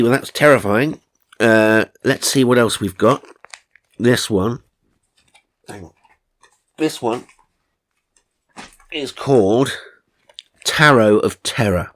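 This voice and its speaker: A man's voice: on the low side, fairly bright tone, dry recording. A British man reading silly stories aloud as narrator, with mock-serious, sardonic asides. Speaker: narrator and host.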